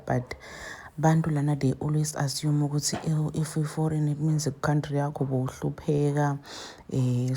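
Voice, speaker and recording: A show host recapping the previous clip in a measured way, with hesitations. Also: medium pitch, mellow, breathy edge. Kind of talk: recap commentary.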